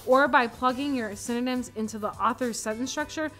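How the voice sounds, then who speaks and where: A high-pitched female chorus reader played by a woman recites quotations in a readers theater piece. Her delivery is declamatory and theatrical, with music faintly behind her. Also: a little fast, a bit bright, close to an everyday voice. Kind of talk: theatrical read-aloud of quotations.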